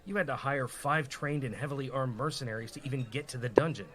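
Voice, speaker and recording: Male actor as sensible rogue, radio comedy straight man, skeptical, dry, exasperated, medium pitch. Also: fast, fairly bright tone, close to an everyday voice. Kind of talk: comedy radio play acting.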